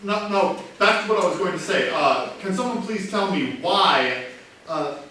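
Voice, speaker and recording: A man's voice: high-pitched, animated, unhurried, sharp tone, exaggerated voice. A man acting a touchy, self-important party guest in a staged comedy reading. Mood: indignant. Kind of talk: theatrical comedy play reading. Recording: very echoey room, distant mic, faint noise.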